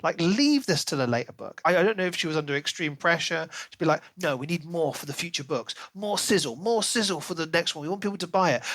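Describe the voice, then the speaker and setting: A man co-hosting a casual book podcast, giving opinions in an animated, emphatic way with sarcastic asides. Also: fairly high pitch, dry recording. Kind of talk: casual book review chat.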